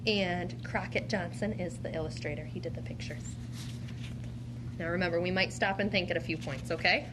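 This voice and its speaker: A woman's voice: lowish voice, fairly even intonation, moderate pace. A woman teacher demonstrating an interactive read-aloud, speaking to a child in an encouraging, questioning way. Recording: clear background noise.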